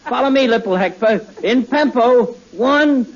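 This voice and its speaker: A man's voice: very high-pitched, expressive, a little slow, full character voice. A man playing a boastful, pushy boy with a speech impediment that turns T into a popping P, in 1940s radio comedy. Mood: eager. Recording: noisy, dull 1940s radio recording.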